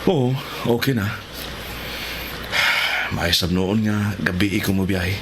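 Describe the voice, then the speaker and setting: Lowish, slow, practical father. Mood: relieved. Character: businesslike husband and father, calm and practical. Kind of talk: radio drama acting. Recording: clearly noisy track with ambience.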